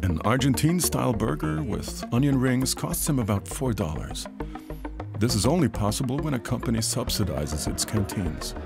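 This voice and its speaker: Lively male documentary narrator over music. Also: medium pitch, vocal fry. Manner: measured, informative voice-over. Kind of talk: scripted narration. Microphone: studio voice-over. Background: music bed.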